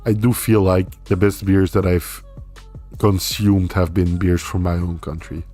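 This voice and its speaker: A man's voice: low voice, a little slow, faint music behind. A young man whose first language is Dutch, hosting a casual livestream and answering viewer comments. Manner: relaxed, rambling, reads viewer comments aloud and answers. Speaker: livestream host.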